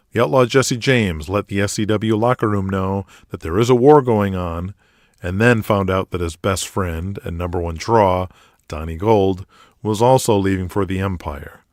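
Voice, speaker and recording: A man with a lowish voice works as podcast host and narrator. He delivers scripted announcements and storytelling, recorded close with very little room sound. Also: no echo.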